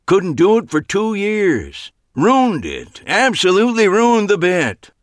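A man's voice: lowish voice, big pitch swings, slow pace, pushed and bright, no echo, clean studio sound, heavily acted. A man voicing a grumpy elderly male magician who grumbles. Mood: grumbling.

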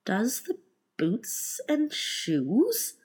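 Storybook young girl read by a woman: courteous, inquisitive, shy, stumbling over words, lively rise and fall. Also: a little high, unhurried, pitched above the performer's own voice, full character voice. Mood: curious. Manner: hesitant, stammering. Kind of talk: storybook character reading.

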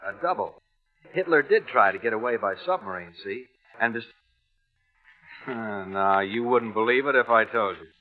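A man playing an ex-Navy radio operator telling a yarn in a radio drama, slangy and halting, with an American accent. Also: fairly low pitch, lively intonation. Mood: confiding. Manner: colloquial, halting. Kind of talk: old-time radio drama acting. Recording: muffled vintage radio broadcast, music behind.

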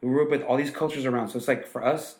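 Rambling restaurant owner, echoey room. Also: male voice, medium pitch, fairly even intonation, racing, a little mellow. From Los Angeles. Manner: rambling, many restarts and fillers. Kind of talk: casual interview talk.

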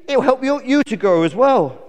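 A little storybook lady bluebottle, put on by a man, chats in a high, lively voice. She is eager and enthusiastic, and her words echo at length. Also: normal speed. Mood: apologetic, eager. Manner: enthusiastic, apologetic, chatty. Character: cheery, eager little female bluebottle fly. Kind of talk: children's storybook character voice.

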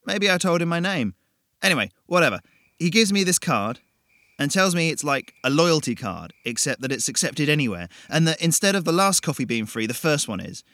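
A man voicing a cocky, excitable young man who talks loud and high. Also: dry recording, clean studio sound, exaggerated voice. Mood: breezy excited. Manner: fast, loud and high-strung.

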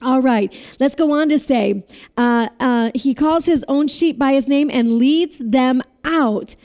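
A woman preaches a sermon in a high, mellow voice. She has a loud voice and keeps asking her listeners to repeat lines back to her. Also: a little slow. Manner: asks the audience to repeat phrases.

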